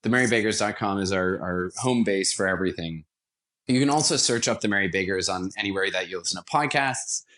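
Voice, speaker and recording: A man's voice: deep voice, brisk, fairly bright tone. A man speaking as an interview guest, explaining his creative work as a radio theatre director in an enthusiastic, conversational way.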